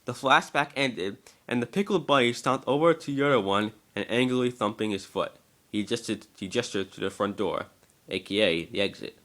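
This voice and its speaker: A man's voice: on the low side, moderate pace, fairly bright tone. A man giving an amateur scripted narration of a story, now and then stumbling over the words.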